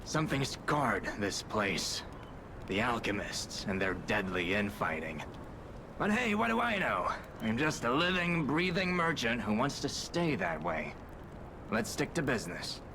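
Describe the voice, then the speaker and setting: An actor playing a weary, wry merchant and cynical survivor in a video game, speaking in a slow, sighing manner. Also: male voice, mid-range voice, sing-song, noisy background. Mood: wry, guarded. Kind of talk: video game character acting.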